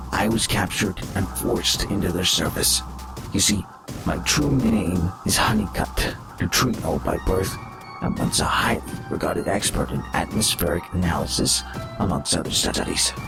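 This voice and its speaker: A learned robot professor, acted by a man, with a very low voice full of creak. He speaks formally and haltingly, like a mournful old-world scholar in a sci-fi audio comic, with prominent music underneath. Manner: formal, halting, elaborate wording. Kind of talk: dramatic expository narration in a fan audio comic.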